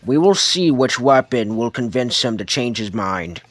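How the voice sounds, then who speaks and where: A man voicing a brutal villain boss in an amateur comic dub, threatening, pompous and deliberate. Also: mid-range voice, expressive, fairly bright tone, no echo, soft background music. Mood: commanding. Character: menacing brutal villain boss interrogating a captive.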